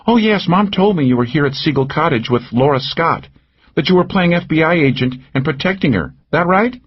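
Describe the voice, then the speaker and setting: A man's voice: fairly high pitch, fairly quick, husky, dull audio, voice pushed up. A man putting on a brash flirty woman. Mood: teasing. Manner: brash, teasing, lively. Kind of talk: audiobook character voice.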